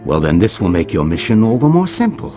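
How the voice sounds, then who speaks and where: An actor playing a cold, calculating villain in a video game cutscene, suave and condescending. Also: male voice, fairly low pitch, sing-song, brisk, dark tone, faint music behind. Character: cold, scheming villain commanding a female spy.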